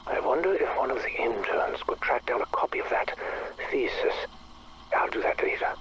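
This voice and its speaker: A man playing an obsessive, bitter scientist in a game audio log, speaking formally and precisely with a breathy, brooding delivery. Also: mid-range voice, expressive, moderate pace, fairly bright tone, slight background noise, close to an everyday voice, rough recording. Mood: scheming, intrigued. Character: obsessive, bitter male scientist recording private audio logs.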